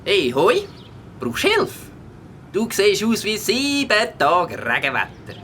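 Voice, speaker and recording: An actor playing a friendly, helpful passer-by in a children's audio drama. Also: male voice, high voice, huge pitch swings, takes it slowly, background noise, full character voice. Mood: cheerful.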